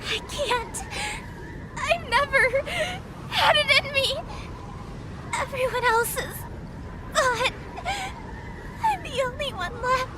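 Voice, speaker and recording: Sobbing, wounded young heroine. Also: female voice, very high voice, rough recording. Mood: despairing. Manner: sobbing, halting, despairing. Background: game music.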